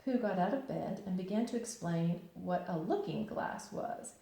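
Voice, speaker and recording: A woman's voice: on the low side, animated, normal speed, gravelly, warm tone. A woman teacher reading a children's storybook aloud expressively, with simple, explanatory phrasing. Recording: very echoey room.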